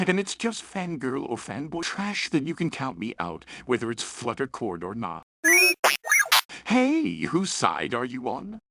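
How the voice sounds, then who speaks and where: A man's voice: mid-range voice, creaky, heavy background noise. A man hosting a scripted review in a chatty, opinionated way, with playful, self-aware asides. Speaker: host and reviewer of fan fiction and audio dramas.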